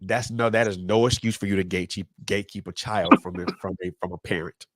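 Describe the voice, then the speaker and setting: A man's voice: deep voice, steady tone, fast talker, fairly bright tone, dry recording. A man speaking as a guest in a panel discussion, emphatic and preacherly, repeating phrases to drive his points home.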